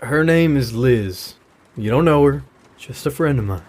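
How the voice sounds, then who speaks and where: Grieving father, halting. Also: mid-range voice, lively intonation, a little mellow. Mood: defensive, strained. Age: middle-aged. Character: grieving, caring widowed father of a daughter. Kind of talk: naturalistic audio drama acting.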